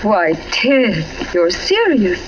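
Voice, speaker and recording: An actress playing a poised, evasive wife in a 1940s radio mystery. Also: female voice, medium pitch, sing-song, takes it slowly. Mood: innocent, defensive. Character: Marie, poised, evasive young suburban wife. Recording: muffled, noisy 1940s radio recording.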